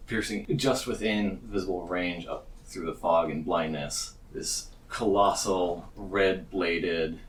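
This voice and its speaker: A man narrating a tabletop fantasy game as game master, dramatic and deliberate. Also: deep voice, steady tone. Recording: echoey, slightly distant, faint ambient noise.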